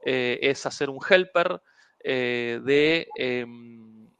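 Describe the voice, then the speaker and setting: Smooth-voiced man hosting a tech webinar. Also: mid-range voice, extremely slow, no echo, lo-fi sound. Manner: relaxed, rambling, many fillers and restarts, mixes English tech terms. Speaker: webinar host. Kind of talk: casual technical presentation.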